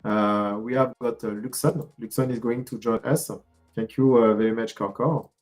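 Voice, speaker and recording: A man moderating an online event over a video call, halting and filling pauses with many fillers. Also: medium pitch, fairly even intonation, darkish tone, faint music behind. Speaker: host and moderator.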